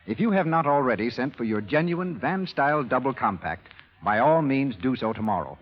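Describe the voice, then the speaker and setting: A man's voice: mid-range voice, lively intonation, moderate pace. An American man working as a radio announcer in 1930s style, reading scripted advertising in a formal, carefully enunciated way. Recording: dull vintage 1930s radio broadcast recording, reverberant.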